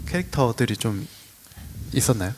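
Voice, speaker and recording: A man's voice: medium pitch, animated, normal speed, a little mellow, slight background noise. A man hosting a casual podcast chat, agreeably prompting the others with questions. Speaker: podcast host steering the talk. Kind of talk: casual chat reviewing a drama.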